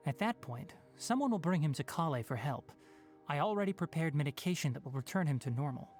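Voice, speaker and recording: Young ranger played by a man: calm, clinical, quietly caring, articulate, very varied intonation, game music. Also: fairly high pitch, fairly quick, natural voice. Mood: composed, clinical. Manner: clinical, matter-of-fact, articulate. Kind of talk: English game voice acting.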